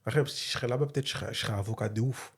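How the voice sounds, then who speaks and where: A man's voice: mid-range voice, racing, gravelly, breathy edge. A young man from Philadelphia raised in France, joking and boasting with lots of slang in a casual chat among friends. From born in Philadelphia, raised in France. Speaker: podcast host.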